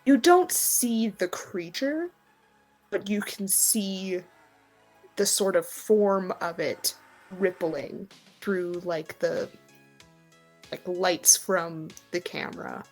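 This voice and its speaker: A woman's voice: medium pitch, slow pace, faint music behind, poor audio. A woman game master who improvises narration for a tabletop game and explains the scene in a conversational way.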